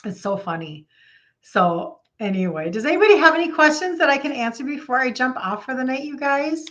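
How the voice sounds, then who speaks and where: High-voiced, gushing Minnesota woman. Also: a little fast, dull audio. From Minnesota, United States. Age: 50s. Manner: excitable, gushing, repeats phrases for emphasis; chatty, addresses viewers by name. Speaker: live stream host, health coach. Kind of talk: casual live chat with viewers.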